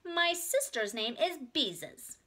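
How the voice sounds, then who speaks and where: A woman's voice: high voice, very animated, slow, a bit bright, pitched above the performer's own voice, full character voice. A woman voicing a bold, stubborn four-year-old girl in a children's storybook, blunt and insistent. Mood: proud, generous. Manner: blunt, insistent, attention-seeking.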